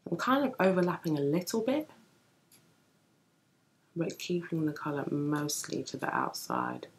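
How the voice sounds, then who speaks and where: A young woman with a deep early-morning voice, chatting through a makeup tutorial at home while she works on her eyes. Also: huge pitch swings, a little slow. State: just woken, deep morning voice. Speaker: beauty vlogger. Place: home room with bookshelves, indoors.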